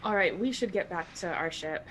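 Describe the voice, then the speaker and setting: A woman's voice: on the low side, expressive, moderate pace, a bit bright, a little hiss or hum, poor audio. A woman playing a wary, sceptical spaceship crew member in a tabletop game, conversational and questioning. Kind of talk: tabletop roleplay.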